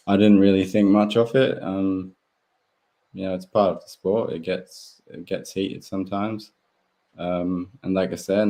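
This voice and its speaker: A young man whose first language is German, with a low, dark, mellow voice. He rambles through a relaxed live chat, restarting sentences and leaning on fillers. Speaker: guest speedway rider. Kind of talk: casual live interview.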